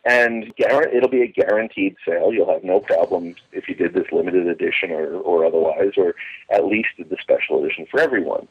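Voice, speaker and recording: Muffled, husky low-voiced man reminiscing. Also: fairly quick. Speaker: interview guest. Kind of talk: conversational reminiscing about radio drama. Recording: muffled, band-limited phone line.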